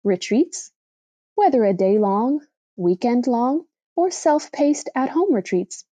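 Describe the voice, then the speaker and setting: Higher-pitched, soft-toned woman reading an essay. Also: dry recording. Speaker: reader of a blog post for a podcast. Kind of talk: scripted narration, reading a reflective religious essay aloud.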